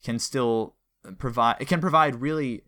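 Bright-voiced man hosting a casual podcast. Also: mid-range voice, moderate pace. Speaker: podcast co-host. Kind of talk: casual analytical discussion.

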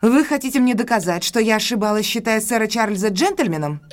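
A woman's voice: on the high side, animated, a little fast, lightly acted. An actress playing a proud, guarded, defensive woman in an audio drama, speaking formally and curtly. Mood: indignant. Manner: formal, curt, indignant.

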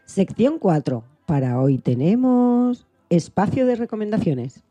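A woman's voice: medium pitch, very animated, unhurried, warm tone, soft background music. A woman co-presenting a show with scripted section announcements.

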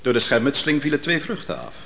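A man's voice: mid-range voice, wildly swooping pitch, quick pace, gravelly, bright, forceful tone, exaggerated voice. A man playing a probing, exact courtroom questioner in a Dutch radio drama, pressing with pointed phrasing. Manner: pointed, interrogating. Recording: reverberant hall, old radio drama.

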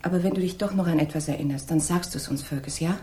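An actress playing a gentle, pleading young woman in a radio crime drama, speaking softly and coaxingly. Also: fairly low pitch, fast, lightly acted. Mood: gently pleading. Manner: soft, coaxing. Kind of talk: 1960s German radio crime drama acting.

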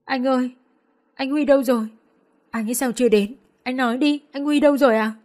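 A woman voicing a distraught young woman who pleads and cries, high and strained. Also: fairly even intonation, brisk, a little mellow, close to an everyday voice. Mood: frantic. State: crying.

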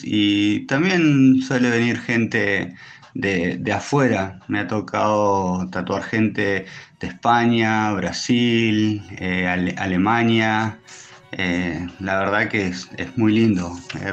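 A man with an Argentine Spanish accent answering interview questions in a thoughtful, hesitant way with many pauses. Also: on the low side, highs cut off. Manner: hesitant, thoughtful, pausing often. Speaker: interview guest, tattoo artist.

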